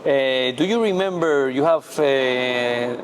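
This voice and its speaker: A man hosting a casual interview, asking questions in halting, non-native phrasing. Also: medium pitch. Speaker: interviewer, host. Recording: clear steady background noise.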